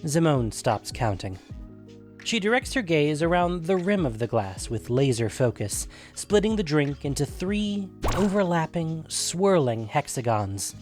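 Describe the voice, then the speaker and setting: A man's voice: a little high, sing-song, a little slow, darkish tone, faint music behind. A man hosting and narrating a scripted fantasy audio story. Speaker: narrator and podcast host.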